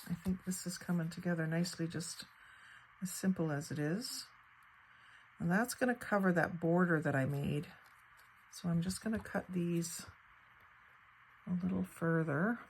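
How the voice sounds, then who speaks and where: A woman speaks low and gravelly, her voice a little crackly and hoarse from a cold. She talks through a paper craft project in an unhurried, chatty way, musing aloud as she cuts and glues. A faint hum of room ambience sits under her voice. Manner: thinks aloud while working, unhurried and chatty. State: slightly hoarse, fighting off a cold. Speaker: craft channel host. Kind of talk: casual crafting tutorial narration.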